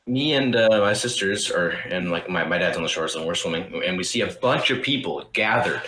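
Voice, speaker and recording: A man's voice: low voice, expressive, brisk, bright, forceful tone, roomy sound, poor audio. A young man hosting a podcast, telling a story in a loose, rambling way with lots of restarts and casual swearing. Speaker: podcast host.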